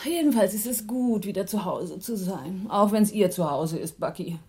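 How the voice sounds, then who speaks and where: A woman's voice: medium pitch, lively intonation, moderate pace, mellow, breathy edge. An actress playing a woman on the run in a radio drama thriller. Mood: teasing. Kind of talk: radio drama dialogue and narration.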